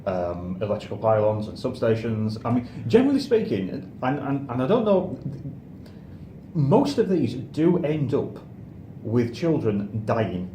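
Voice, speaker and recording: British male co-host, middle-aged, rambling and repetitive, dark relaxed tone, roomy sound with faint noise. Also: medium pitch, unhurried. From United Kingdom. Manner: rambling, repeats and restarts phrases often. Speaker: co-host of a film review show. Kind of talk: casual discussion and reminiscence.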